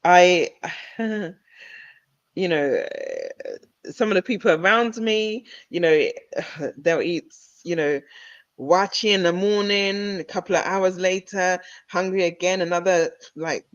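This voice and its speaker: A woman's voice: mid-range voice, no echo. A woman who grew up in the UK, hosting a casual interview over a video call. She chats loosely, laughs often, and uses many fillers and restarts. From United Kingdom. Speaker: host. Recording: dull, dry video call audio.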